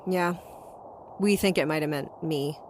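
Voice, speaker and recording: A woman's voice: sits low, unhurried, a little hiss or hum, rough recording. An actress playing a tough, sardonic young woman who talks bluntly and narrates her own story in an audio drama. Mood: troubled. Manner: wry, blunt, foul-mouthed. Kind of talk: audio drama dialogue and first-person narration. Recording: music bed under the voice.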